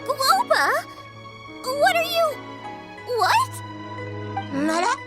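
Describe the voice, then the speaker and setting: A woman voicing an excitable, cheerful young girl chef in an anime-style video game, with a bubbly, wide-eyed delivery. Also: very high-pitched, very slow, full character voice. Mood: startled, amazed. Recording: prominent music under the voice.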